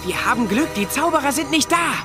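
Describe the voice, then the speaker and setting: A woman's voice: sits high, animated, a little fast, over music. A woman playing a small, anxious, polite tomcat in a children's radio play, speaking earnestly. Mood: urgent.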